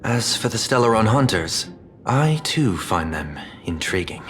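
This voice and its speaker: An actor voicing a composed, courteous gentleman in a video game, speaking in a formal, measured, polite way. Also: lowish voice, very animated, a little hiss or hum. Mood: calm, courteous.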